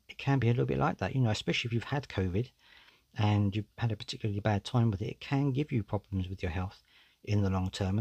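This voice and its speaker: A man's voice: on the low side, darkish tone, husky, no room sound. A man, hosting a solo podcast in a candid, conversational way, often saying "you know". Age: 40s. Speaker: podcast host.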